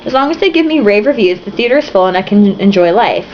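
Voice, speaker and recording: A woman's voice: mid-range voice, animated, fast, a little hiss or hum, lightly acted. A woman voicing a dramatic female stage star with a grand, affected manner, in a storybook reading, on a slightly muffled home recording.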